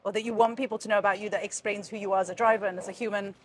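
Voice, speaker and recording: Fast-talking enthusiastic woman interviewer. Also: medium pitch, fairly even intonation. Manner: upbeat, enthusiastic, quick follow-ups. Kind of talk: interview questions.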